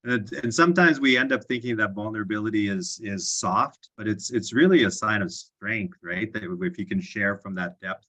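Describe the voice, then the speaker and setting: Bright-voiced male moderator. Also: fairly low pitch, normal speed, hardly any pauses. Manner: affirming, reflective, repeats words. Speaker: session moderator. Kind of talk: moderating online panel.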